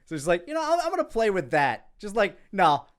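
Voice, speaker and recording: A man's voice: sits high, huge pitch swings, a little fast. A man giving casual creator commentary in a rambling, profane, conversational way. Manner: rambling, profane, quotes lines aloud.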